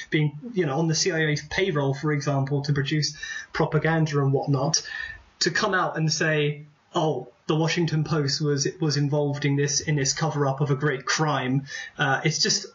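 A man's voice: on the high side, fairly bright tone. A man hosting an interview podcast who asks long, rambling questions and often repeats words as he thinks aloud. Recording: dull lo-fi podcast audio.

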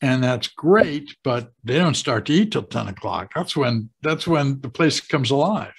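An American man in his sixties speaks to camera from a home office. His voice is husky and sits at a middle pitch. He explains things deliberately, pausing to stress his points. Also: animated. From United States. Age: 60s. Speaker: host of a retirement advice channel. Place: small home office, indoors. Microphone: webcam video call setup.

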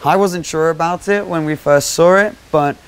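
A man's voice: on the high side, normal speed, clear, resonant tone. A young man, giving a chatty, upbeat house tour as he walks around, speaking into a small clip-on mic. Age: 20s. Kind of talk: casual walk-through vlog. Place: small rented house and garden, indoors and outdoors. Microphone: small clip-on mic on his shirt.

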